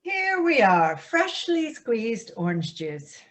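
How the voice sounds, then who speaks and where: A woman playing a conciliatory, gently meddling family peacemaker in a staged play reading, placating and tentative. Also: on the high side, big pitch swings, takes it slowly. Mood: polite, bright.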